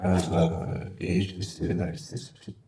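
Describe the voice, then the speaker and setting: A man, a film director, answering interview questions hesitantly with many filler pauses. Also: low voice, flat delivery, warm tone, husky.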